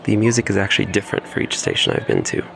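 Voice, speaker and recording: A man's voice: fairly low pitch, wildly swooping pitch, racing, vocal fry. A man giving descriptive scene-setting narration as a field correspondent, recorded in a noisy, crowded subway station.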